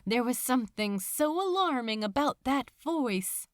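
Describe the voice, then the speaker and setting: A woman narrates fiction with dramatic, lively swings in pitch. She takes her time, setting out short measured phrases with pauses between them, in a dry, close recording. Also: dry recording. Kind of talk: scripted story narration, dramatic reading.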